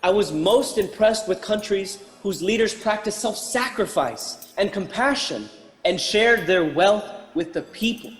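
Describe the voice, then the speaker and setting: An actor playing a composed, idealistic young gentleman in a radio drama, polite and formal. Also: sits high, lively intonation, a little slow. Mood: courteous, earnest. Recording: long hall reverb, music underneath.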